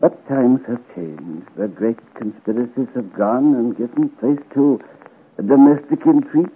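An actor playing an elegant, scheming old duke in a theatrical, courtly manner, in the style of a 1950s radio serial. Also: male voice, medium pitch, unhurried, husky, narrow, tinny audio. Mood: wistful. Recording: thin, noisy old radio recording.

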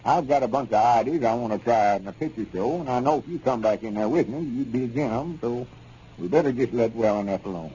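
A man's voice: mid-range voice, expressive, quick pace, mellow, slightly rough. An actor playing a stubborn, folksy small-town businessman with a rural Southern accent in a 1930s radio comedy. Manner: folksy, argumentative. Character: stubborn, self-justifying small-town male business partner. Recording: noisy, muffled 1930s radio recording.

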